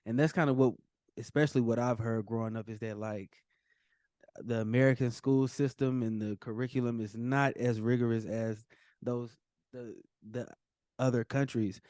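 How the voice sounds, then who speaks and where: A man's voice: medium pitch, fairly even intonation, normal speed, darkish tone, no echo, clean studio sound. A man hosting an interview, asking questions in a hesitant way with many fillers. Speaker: host. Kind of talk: podcast interview.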